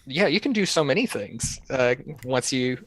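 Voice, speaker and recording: A man's voice: medium pitch, animated, normal speed, fairly bright tone, dry recording. A man from North Carolina answering interview questions in an easygoing, rambling way. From North Carolina, USA. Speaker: guest, software developer.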